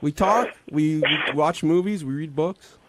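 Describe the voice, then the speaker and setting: A man's voice: a little high, measured pace, fairly bright tone, slight background noise, poor audio. A man on a radio talk show, earnestly defending his date. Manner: protective, earnest.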